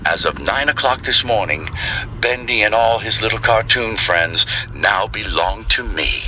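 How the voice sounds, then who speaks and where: A man playing a solemn, brooding, self-assured studio owner in a video game audio log, measured and theatrical. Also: fairly low pitch, lively intonation, bright, forceful tone, heavily acted, low-quality recording. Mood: proud, wistful.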